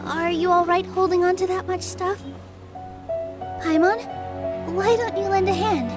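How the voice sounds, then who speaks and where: A woman voicing a gentle, kind young dancer of a theater troupe in a video game, sweet and polite, over music. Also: very high voice, steady tone, measured pace. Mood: friendly. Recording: music playing behind.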